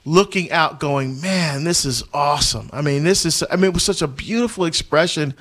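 Animated Barbados-born deacon, higher voice. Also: male voice, moderate pace. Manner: animated storyteller, many fillers and restarts, frequent laughs. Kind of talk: casual conversational chat.

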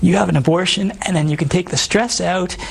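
A man around fifty with a hoarse voice, telling his life story as an interview guest in an earnest, halting way. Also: high voice, steady tone. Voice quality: hoarse, gravelly. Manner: halting, earnest, many ums and restarts. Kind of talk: personal testimony in an interview. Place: Ottawa, Canada.

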